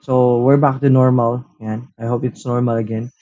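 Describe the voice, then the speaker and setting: A man's voice: mid-range voice, moderate pace, dull audio. A man with a Filipino accent hosting a tech review, talking casually and mixing in Tagalog. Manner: relaxed Taglish code-switching, frequent "uh" and "so". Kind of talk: casual product review and demo. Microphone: headset built-in mic.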